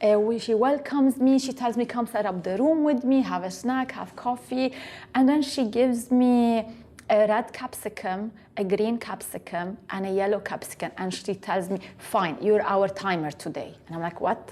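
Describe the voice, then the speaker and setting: Animated woman telling her story. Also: medium pitch, a little fast. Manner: animated storyteller who acts out quoted dialogue. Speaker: guest, author. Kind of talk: casual interview chat.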